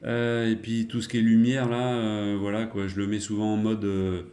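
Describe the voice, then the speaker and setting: A man's voice: on the low side, completely flat delivery, normal speed, dark tone. A middle-aged man chatting casually and colloquially as an amateur radio operator. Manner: chuckling, colloquial, trailing tag words. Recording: roomy, echoing room sound.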